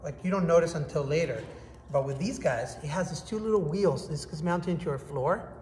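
A man's voice: a little high, darkish tone. Upbeat man reviewing hardware in echoey room. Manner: upbeat, enthusiastic, demonstrating with hands. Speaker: product reviewer. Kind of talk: casual product review to camera. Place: indoor workshop with hard walls. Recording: echoey room, faint background noise.

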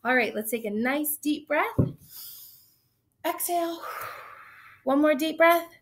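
Woman, 30s, teacher, upbeat, slow-paced, fairly high voice, webcam, small room. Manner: upbeat, counting beats aloud. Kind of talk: online class read-aloud. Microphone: webcam on video call.

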